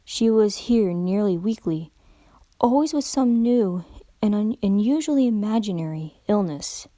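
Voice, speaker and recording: A woman giving a dramatic reading of a story as narrator, with expressive storytelling. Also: mid-range voice, a little slow, no echo, studio quality. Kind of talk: dramatic reading of fan fiction.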